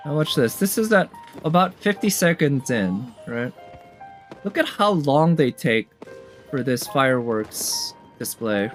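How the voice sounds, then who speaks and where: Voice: medium pitch, very animated, measured pace. A casual reviewer giving reaction commentary on game trailers, conversational and musing, as if thinking aloud. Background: game trailer music.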